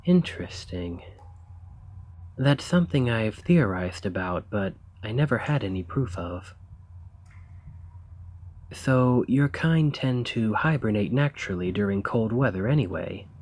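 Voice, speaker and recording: A man playing an earnest, eager researcher of ancient civilizations in an ASMR roleplay, speaking in formal, gently sympathetic phrasing. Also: on the low side, animated, unhurried, pauses often. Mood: curious, fascinated. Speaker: audio roleplay performer. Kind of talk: ASMR roleplay, scripted character acting.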